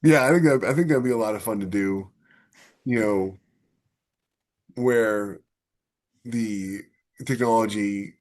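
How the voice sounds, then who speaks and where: A man in casual discussion as a podcast host, somewhat halting as he thinks aloud. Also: on the low side, darkish tone.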